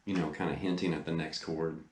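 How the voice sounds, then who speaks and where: Deep-voiced man teaching guitar. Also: level tone, a little fast, slight background noise. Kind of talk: casual guitar lesson. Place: small home studio room. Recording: roomy sound with loud guitar. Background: electric guitar playing.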